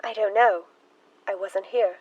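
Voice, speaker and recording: A woman's voice: mid-range voice, fairly even intonation, a little slow, a little mellow, no echo, muffled recording, natural voice. A woman acting an anxious, easily distressed spinster sister in a radio drama, fluttery, pleading and defensive.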